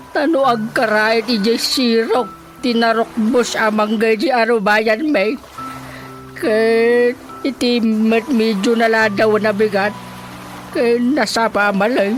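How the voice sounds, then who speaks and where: High-voiced Ilocano village woman. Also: slow, low-quality recording. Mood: worried. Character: worried village woman seeking a folk healer's help. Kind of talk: Ilocano radio drama acting. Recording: faint music behind the voice.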